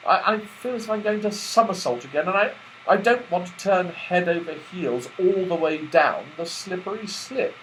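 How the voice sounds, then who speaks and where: A worried, well-mannered storybook boy played by a man, raised in pitch. He stammers and hesitates apologetically. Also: expressive, normal speed, a bit bright, slight background noise, poor audio. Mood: nervous.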